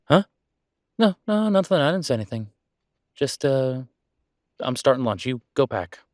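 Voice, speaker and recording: A man's voice: mid-range voice, very animated, normal speed, no room sound, studio quality, natural voice. A man playing a caring, easygoing boyfriend in a roleplay audio drama, speaking casually and reassuringly, with small hesitations. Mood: teasing, flustered. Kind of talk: roleplay audio drama, one-sided intimate conversation.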